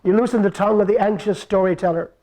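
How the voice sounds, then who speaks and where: A man voicing a commanding fairy-tale queen in a live puppet show, haughty at first and gently praising later. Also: high-pitched, steady tone, quick pace, pitched above the performer's own voice, close to an everyday voice. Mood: approving. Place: live stage puppet show before an audience.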